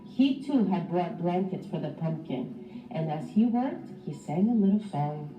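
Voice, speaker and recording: A woman teacher reading a children's storybook aloud in an expressive, instructive way for young pupils. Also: fairly low pitch, unhurried, faint music behind. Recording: roomy, distant mic, slightly dull.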